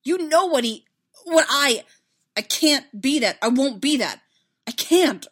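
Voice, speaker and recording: A woman voicing an anguished, self-loathing young knight in a space fantasy story, halting and desperate. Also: mid-range voice, animated, lightly acted. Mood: desperate, agitated.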